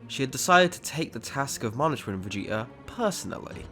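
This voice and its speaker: A man's voice: mid-range voice, big pitch swings, a little fast, bright, forceful tone. A man narrating a scripted dramatic story as its storyteller. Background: background music.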